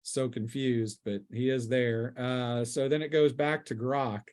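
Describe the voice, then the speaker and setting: Male game master, mid-pitched, conversational and descriptive, improvising scenes for a tabletop role-playing group. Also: steady tone, measured pace, a little mellow.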